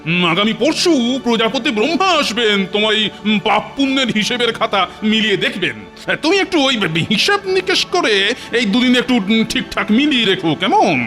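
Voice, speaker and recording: A man's voice: extremely high pitch, animated, a little fast, pushed and bright, full character voice. A man voicing a stately but fussy lord of the dead in a cartoon, hemming as he gives lordly orders. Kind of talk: cartoon voice acting. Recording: music behind the voice.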